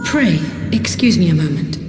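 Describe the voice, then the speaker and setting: An actress playing an earnest young scholar in a video game, anxious but determined, with formal old-fashioned phrasing. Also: female voice, lowish voice, expressive, moderate pace, natural voice. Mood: resolute, apologetic. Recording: echoey reverb under loud music.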